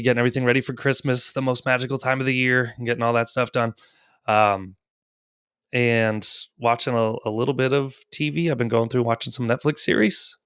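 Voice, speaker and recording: A man's voice: medium pitch, a bit bright, smooth, no echo, clean studio sound. A man in his thirties hosting a casual gaming podcast, rambling with lots of fillers and easy laughs. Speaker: podcast host.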